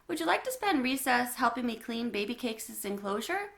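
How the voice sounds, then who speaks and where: A woman reading aloud, giving a cheerful, kindly male teacher a gentle, upbeat voice. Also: on the high side, sing-song, moderate pace, vocal fry, exaggerated voice.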